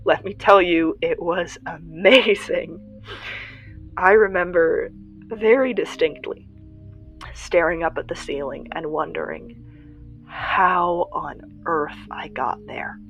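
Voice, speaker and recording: An earnest young survivor played by a woman speaks to listeners of a makeshift radio show in an audio drama. The voice sits slightly low, reflective and confiding, with halting pauses between thoughts. Music plays behind the monologue. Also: rough recording. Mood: wistful wonder. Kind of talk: first-person audio drama monologue, podcast-style confiding.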